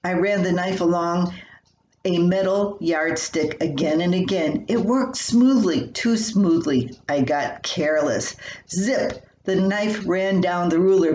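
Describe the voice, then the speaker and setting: An older woman reading her own humorous personal column aloud in a folksy, expressive storytelling way. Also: mid-range voice. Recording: roomy echo with faint hiss.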